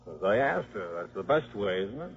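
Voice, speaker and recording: An actor playing a wisecracking, scheming football coach and father, brisk and slangy, in a 1950s radio drama. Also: on the low side, sing-song, gravelly, husky, exaggerated voice. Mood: sardonic, irritated. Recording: muffled vintage 1950s radio broadcast.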